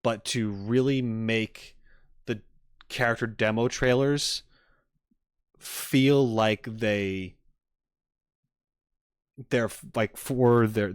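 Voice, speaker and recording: A man giving casual livestream reaction commentary to game trailers, rambling and halting as he rephrases his thoughts. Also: fairly low pitch, no echo, studio quality.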